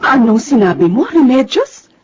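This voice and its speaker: An actress playing a concerned, firm confidante in a radio drama, speaking in an earnest, admonishing way. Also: female voice, high-pitched, big pitch swings, normal speed. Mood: shocked. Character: concerned, firm, God-fearing confidante of an ailing woman. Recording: dull lo-fi radio drama with background music.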